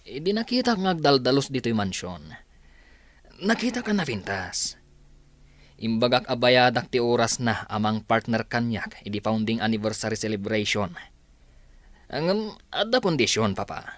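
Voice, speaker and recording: A man's voice: mid-range voice, big pitch swings, moderate pace, pauses often, dry recording, close to an everyday voice. A man acting a defensive, evasive young rich son in an Ilocano radio drama, hesitant and protesting. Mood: sheepish.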